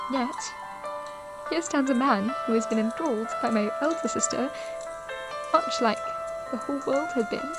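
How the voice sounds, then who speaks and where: A woman acts out a young lady in a period romance audio drama, polite and quietly yearning. Her voice is on the high side, with formal, expressive phrasing. Music plays clearly behind her. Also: close to an everyday voice, poor audio.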